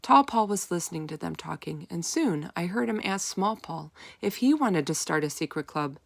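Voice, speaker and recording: Woman reading a children's story, lower-pitched, dry sound. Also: animated, normal speed, creaky, no room sound. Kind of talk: expressive children's read-aloud narration in first person.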